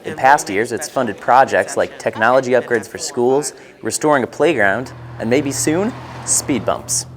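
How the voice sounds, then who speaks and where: A man's voice: mid-range voice, a little slow. A man with an American accent reading a scripted news report as a reporter and anchor.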